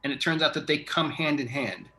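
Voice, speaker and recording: Bright-voiced man interviewing casually. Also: a little high, fairly quick. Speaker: interviewer, theatre artistic director.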